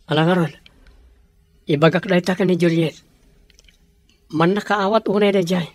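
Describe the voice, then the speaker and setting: A man's voice: high voice, steady tone, moderate pace, no echo, close to an everyday voice. A man playing a respectful, dutiful adult son and earnest suitor in a radio comedy drama, with an Ilocano accent. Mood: concerned.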